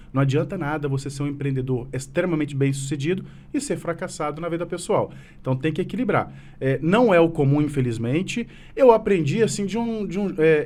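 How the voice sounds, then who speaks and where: A man's voice: on the high side, a little mellow. A man in his thirties from Minas Gerais with a fading mineiro accent, answering interview questions earnestly and rambling with many restarts. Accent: mineiro Brazilian Portuguese, fading. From Minas Gerais, Brazil. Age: 30s. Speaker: business association president, guest. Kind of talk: casual interview conversation.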